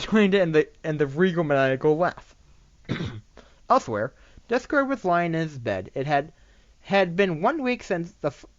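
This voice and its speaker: An amateur narrator reading a fan fiction story aloud in a halting way, often stumbling over and repeating words. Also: medium pitch, no echo, dull audio.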